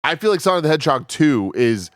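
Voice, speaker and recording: A man's voice: a little high, very animated, fast, no echo, studio quality. A man chatting casually as a panelist, with slangy, sweary phrasing. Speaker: talk show panelist. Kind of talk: casual chat about films.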